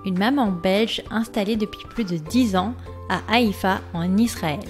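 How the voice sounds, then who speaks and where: Woman podcast host, asks friendly questions, soft music behind. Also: medium pitch. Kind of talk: podcast interview.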